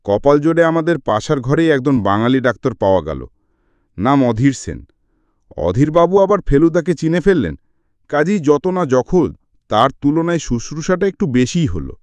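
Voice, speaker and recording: A man giving an expressive scripted narration of a story as an audiobook reader. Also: a little high, normal speed, dry recording.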